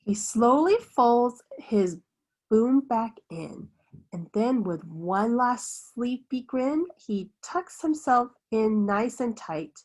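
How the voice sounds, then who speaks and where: A woman reads a picture book aloud in a fairly high voice that swoops widely in pitch. She takes her time over each phrase, with the sing-song expressiveness of a teacher telling a bedtime story to young children. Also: expressive, poor audio. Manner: slow, sing-song delivery with hushed bedtime shushes.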